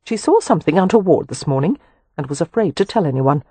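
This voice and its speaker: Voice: mid-range voice, fast talker, slightly rough, no room sound. Narrator doing a prim, acerbic governess. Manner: acerbic, calm, sarcastic. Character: prim, sharp-tongued young governess. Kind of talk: audiobook character voice.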